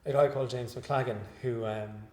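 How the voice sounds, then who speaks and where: A male researcher giving an interview after a lecture, speaking in a measured, hesitant way with many fillers and restarts. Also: mid-range voice, steady tone, darkish tone. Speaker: guest researcher. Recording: echoey room.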